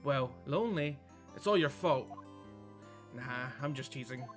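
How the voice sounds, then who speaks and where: A man's voice: mid-range voice, animated, a little slow, soft background music, natural voice. A man voicing a playful, teasing young woman, a younger sister in a visual novel. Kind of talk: visual novel read-aloud.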